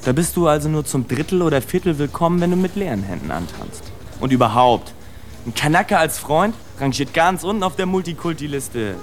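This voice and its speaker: A man's voice: a little high, big pitch swings, a little fast, soft background music. An actor playing a streetwise immigrant man who gripes to his buddy in a sardonic, colloquial way in a radio drama monologue. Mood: sardonic, griping.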